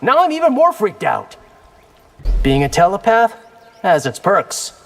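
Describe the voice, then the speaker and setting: An actor playing a wry, defiant, earnest young ninja warrior in a video game. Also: male voice, high voice, huge pitch swings, a little slow, creaky, fairly bright tone, exaggerated voice. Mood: puzzled banter.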